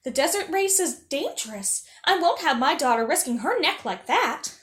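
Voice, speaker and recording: A woman voicing a doting, anxious, excitable old sultan in a storybook reading, fussy and full of exclamation. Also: high-pitched, normal speed. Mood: shocked.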